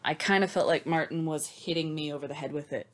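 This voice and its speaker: A woman's voice: sits low, fast. A woman co-hosting a book discussion, casual and sweary, with a sarcastic, emphatic delivery. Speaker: podcast co-host giving recaps. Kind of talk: sarcastic, scripted-sounding book recap and discussion.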